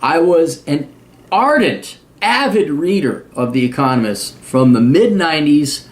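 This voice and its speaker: A man's voice: fairly high pitch, animated, unhurried. A man in his forties with a Midwest accent, talking bluntly and sarcastically in a rambling monologue to camera. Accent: American Midwest. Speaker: vlogger.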